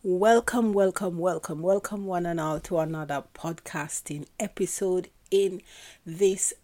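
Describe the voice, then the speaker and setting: A woman's voice: fairly low pitch, unhurried. Congested woman podcasting a devotional. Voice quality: congested, nasal. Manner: chatty, repeats herself, self-correcting; prays softly and earnestly. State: stuffy nose from hay fever. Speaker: podcast host. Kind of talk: devotional podcast talk with prayer.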